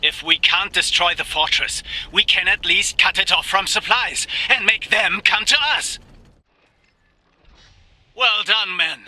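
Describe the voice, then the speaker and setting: A vengeful war commander in a game cutscene. The voice is high for a man and piercingly forceful, giving orders with impassioned authority. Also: a little slow, exaggerated voice, poor audio. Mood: determined, commanding. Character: ruthless, vengeful German military commander of noble rank.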